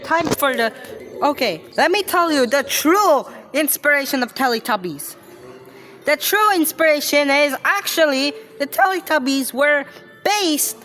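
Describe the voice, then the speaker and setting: A woman giving casual reaction commentary, speaking haltingly and often repeating and stumbling over her phrases. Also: high-pitched, bright, forceful tone. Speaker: reaction video host.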